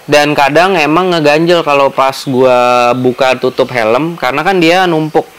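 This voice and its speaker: A man's voice: medium pitch, a bit bright, a little hiss or hum. A man with a colloquial Jakarta Indonesian accent, chatting informally as he explains and unboxes gear, thinking aloud as he goes. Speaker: motovlogger, product unboxing host. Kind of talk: casual unscripted unboxing and tutorial chat.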